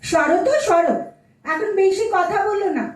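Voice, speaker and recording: A woman's voice: very high-pitched, moderate pace. A woman acting a petulant young married daughter in an audio drama, huffy and complaining about her in-laws. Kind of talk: Bengali audio-play acting on stage. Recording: echoey room, distant mic.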